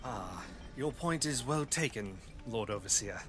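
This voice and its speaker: An actor playing a cautious, deferential Imperial commander in a sci-fi audio drama, formal and placating. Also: male voice, mid-range voice, expressive, unhurried, background noise, lightly acted. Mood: uneasy.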